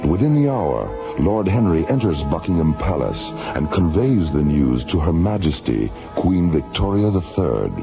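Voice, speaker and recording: A man's voice: low-pitched, big pitch swings, moderate pace, hardly any pauses, music in the background. A man acting as the formal, portentous narrator of a radio mystery serial. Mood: solemn, dramatic. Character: grave male narrator of a mock-British mystery serial. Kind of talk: dramatic scripted radio narration. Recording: muffled vintage radio recording.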